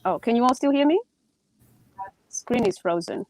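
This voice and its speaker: A woman's voice: on the high side, expressive, moderate pace, darkish tone, a little hiss or hum. A woman in her forties from Tanzania, a native Swahili speaker, telling her own story casually on a video call. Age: 40s. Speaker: guest speaker, teacher.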